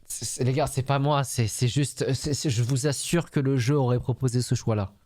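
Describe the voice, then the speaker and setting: A man's voice: mid-range voice, fairly even intonation, brisk, a little mellow. A man with a French accent, a game streamer giving casual, jokey, teasing live commentary. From France. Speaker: streamer playing a dating game.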